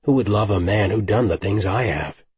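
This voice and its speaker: A man voicing a brooding, powerful otherworldly warrior with a low husky growl, reading an audiobook. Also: normal speed, a little mellow, no room sound.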